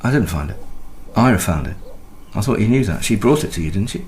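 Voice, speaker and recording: An actor playing an anxious, evasive married man in a naturalistic radio drama, speaking haltingly. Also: fairly low pitch, big pitch swings, reverberant, a little hiss or hum. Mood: uneasy, guarded. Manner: hesitant, halting. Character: anxious, evasive recently married husband under police questioning.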